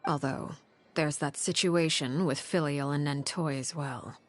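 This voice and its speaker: An actress playing a cold, menacing female leader of a secretive house in a video game, speaking formally and with measured poise. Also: low voice, fairly even intonation. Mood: coolly menacing. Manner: measured, formal, veiled threats.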